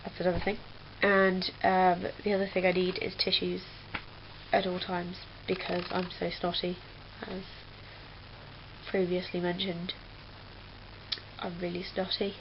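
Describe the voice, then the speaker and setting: A woman's voice: lowish voice, monotone, measured pace. A young woman from England chatting casually as a vlogger, rambling with lots of fillers. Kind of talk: casual chat. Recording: dull lo-fi webcam audio with faint hiss.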